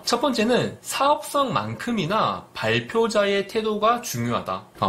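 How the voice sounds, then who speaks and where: Busan-accented man giving lively advice. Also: on the high side. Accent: light Busan dialect. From Busan, South Korea. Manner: earnest, direct advisory tone. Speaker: business YouTuber. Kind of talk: vlog advice talk.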